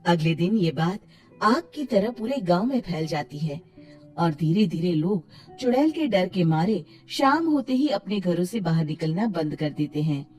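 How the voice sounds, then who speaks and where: A woman narrating a cartoon story in an expressive storybook style. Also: lowish voice, unhurried, soft background music.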